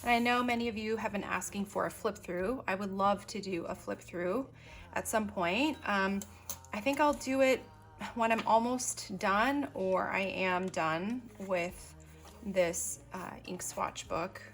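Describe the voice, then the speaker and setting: A woman chatting casually as she shows her desk items, unhurried and full of ums and little asides while she works. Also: mid-range voice, fairly bright tone. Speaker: stationery vlogger. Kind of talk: casual show-and-tell narration.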